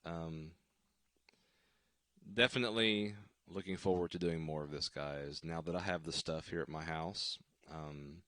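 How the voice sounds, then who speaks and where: Deep deep guy, voice all scratchy and tired, lots of ums, dry close sound. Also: steady tone, a bit bright, no room sound. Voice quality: deep baritone. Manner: halting, many ums and restarts. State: worn-out, scratchy voice. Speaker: worship singer hosting a livestream. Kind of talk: casual livestream sign-off chat. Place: at home.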